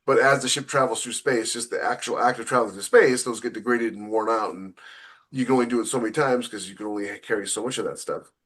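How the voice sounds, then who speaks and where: A man's voice: mid-range voice, fast, fairly bright tone, breathy edge. A man co-hosting a podcast as a science fiction author, explaining things casually and peppering his talk with "you know.".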